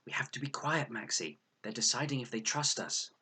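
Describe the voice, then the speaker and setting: A man puts on a protective young girl in a storybook adventure. The voice is pushed up high and bright, firm and commanding, with an excitable edge. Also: normal speed, slightly rough, full character voice. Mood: hushing.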